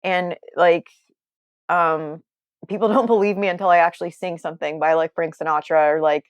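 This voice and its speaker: A young woman with a somewhat low speaking voice tells her story in a candid, earnest way. She wanders and circles back on herself mid-sentence. The sound is dry and close, with no room around it. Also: steady tone, normal speed, no echo, highs cut off. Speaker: guest. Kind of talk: candid conversational interview.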